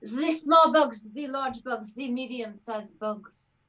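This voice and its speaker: A woman doing a laid-back creature who eats bugs, delivered deadpan and mock-dramatic. Her pitch sits high and her pace is slow, over a video-call mic. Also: low-quality recording. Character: laid-back bug-eating creature, female.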